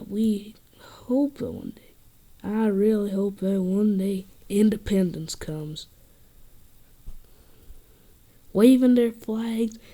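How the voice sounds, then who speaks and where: A woman acts out an earnest rally-goer in a mock news interview. The voice is slow and soft-edged, dark in tone, with halting, gushing delivery. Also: medium pitch. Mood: hopeful. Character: earnest Quebec independence supporter at a rally, interviewed.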